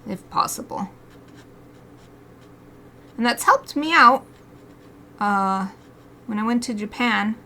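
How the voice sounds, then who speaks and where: A woman's voice: on the high side, expressive, takes it slowly, long pauses, sharp tone. An American woman chatting and laughing to herself while drawing live, reading viewer comments aloud. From United States. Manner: chatty, giggly, talks to herself and reads chat aloud. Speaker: artist streaming live drawing.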